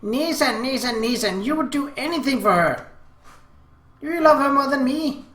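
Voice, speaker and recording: A man's voice: expressive, normal speed, bright, forceful tone, echoey room, full character voice. A man voicing a whiny, clingy little anime sister in a dramatic, girlish voice, reading from a visual novel. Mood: hurt, sulky. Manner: sulky, dramatic, high put-on girlish voice.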